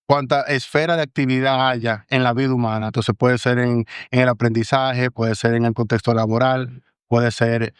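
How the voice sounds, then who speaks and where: A Dominican man in his thirties explains patiently as a guest, pausing now and then to find the next word. His voice is smooth and clear, with very little rise and fall in pitch. It is recorded dry and close, with no room sound. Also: level tone, no room sound. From Dominican Republic. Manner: measured, explanatory, frequent "eh" pauses. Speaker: guest, AI governance expert. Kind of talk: interview answers.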